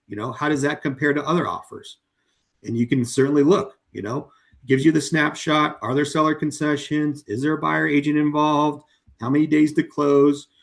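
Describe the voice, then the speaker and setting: A man presenting a webinar as a company co-founder, walking through a software demo at an easy, unhurried clip. He keeps it conversational and fills the gaps with ums and you-knows. His voice has worn a little hoarse from talking so long. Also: mid-range voice. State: voice going hoarse. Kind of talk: casual walkthrough of a software demo.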